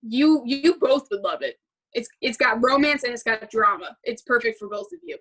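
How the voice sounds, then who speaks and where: A woman's voice: a little high, moderate pace, fairly bright tone. A young woman hosting a casual chat about musical movies, rambling with many fillers and restarts. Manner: rambling, filler-heavy, laughs often. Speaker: host.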